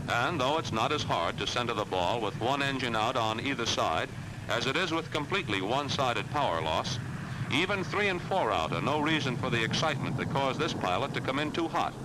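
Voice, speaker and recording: An American man reading scripted 1940s military training film narration in an assured, instructional way with clipped phrasing. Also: medium pitch. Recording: noisy 1940s film soundtrack.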